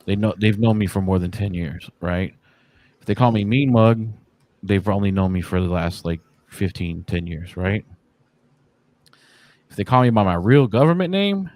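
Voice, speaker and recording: A man's voice: low voice, a little slow, pauses often, a little mellow, no echo. A man in his forties, a podcast guest talking casually in a laid-back, slangy, profane way with lots of fillers. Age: 40s. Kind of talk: casual chat.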